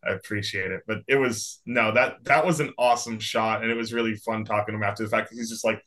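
Bright-voiced young man, animated chat. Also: fairly low pitch, quick pace. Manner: animated storyteller, acts out quotes. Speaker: podcast co-host. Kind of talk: casual chat.

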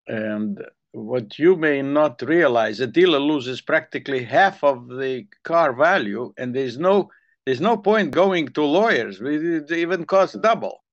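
A man's voice: on the high side, animated, unhurried, lo-fi sound. An older man with a Hungarian accent, telling long rambling stories in a casual chat. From Ukrainian Soviet Republic. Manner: rambling storyteller, repeats words when restarting.

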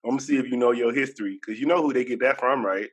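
A man asks questions in an easygoing, slangy manner, casually interviewing a guest. His voice is at a middling pitch for a man. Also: very fast, husky. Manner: laid-back, slangy, conversational.

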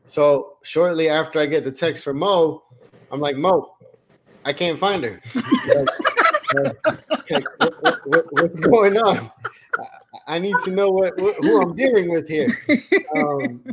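Man from Colombia, podcast co-host, somewhat high pitch, loose and rambling. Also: very animated, measured pace, long pauses, noisy background. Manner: rambling, repeats words, friendly. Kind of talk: casual interview chat.

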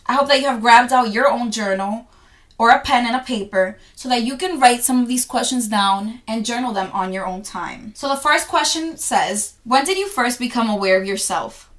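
Soft-spoken reflective Dominican woman. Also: fairly high pitch, moderate pace. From Dominican Republic. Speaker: journaling vlogger. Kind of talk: reading her own journal entries aloud.

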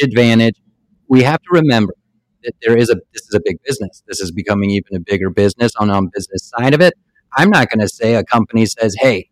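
A man's voice: mid-range voice, no echo, poor audio. A man in his fifties hosting a casual sports interview, talking in an upbeat, emphatic way and leaning into his points. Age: 50s. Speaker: podcast host.

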